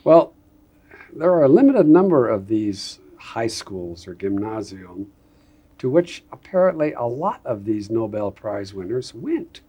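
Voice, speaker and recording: A man's voice: lowish voice, big pitch swings, a little slow, dark tone, husky. A man interviewing a guest in a conversational interview, asking questions in a casual, musing tone.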